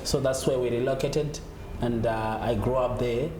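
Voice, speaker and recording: A man with a Zimbabwean accent speaks as an interview guest in a middling pitch. He often restarts and repeats phrases, and the room echoes around him with clear noise behind. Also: fairly even intonation, normal speed, darkish tone. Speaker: gospel musician being interviewed. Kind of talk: conversational interview answers.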